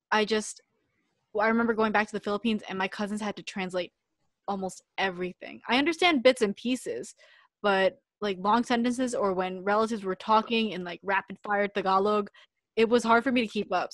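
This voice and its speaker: A woman from the United States co-hosts a relaxed podcast conversation. She talks in a reflective, meandering way, full of little fillers. Her voice sits at a mid pitch and sounds dry and close. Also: no room sound. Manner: reflective, loose, many "like" fillers. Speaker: podcast co-host. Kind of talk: casual podcast chat.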